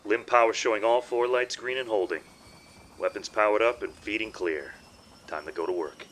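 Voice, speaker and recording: A man voicing a gruff, battle-hardened lieutenant piloting a war machine in a military audio drama, with clipped, muttered or snarled callouts. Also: on the low side, moderate pace, a bit bright, voice pushed up, close to an everyday voice, rough recording. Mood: focused, terse.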